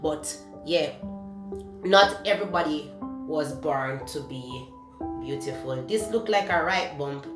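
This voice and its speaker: Brief: young Jamaican woman, lowish voice, candid beauty vlogger, searches for words, kitchen room echo. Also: slow pace. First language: Jamaican Patois. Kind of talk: casual talk-to-camera beauty vlog. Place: home kitchen, indoors. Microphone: camera close to the face. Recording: echoey kitchen with background music.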